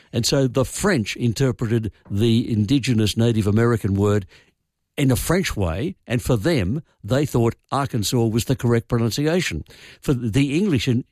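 A man's voice: mid-range voice, darkish tone, no echo. An older Australian man on a radio phone-in, explaining word origins in a wry, opinionated way. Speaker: language expert guest. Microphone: studio microphone. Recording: dry studio sound.

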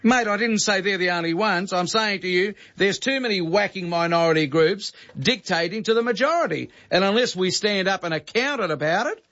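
Indignant high-voiced man, ranting. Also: a little fast, few pauses, fairly bright tone. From Greece. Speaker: radio host. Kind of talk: heated talkback radio. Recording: dull lo-fi archival radio recording.

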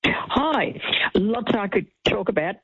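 A woman's voice: medium pitch, lively intonation, takes it slowly, a bit bright, breathy edge, dry recording. Older woman on a tinny phone line. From Norway. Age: 70s. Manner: chatty, reminiscing. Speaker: caller. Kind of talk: casual chat on talk radio.

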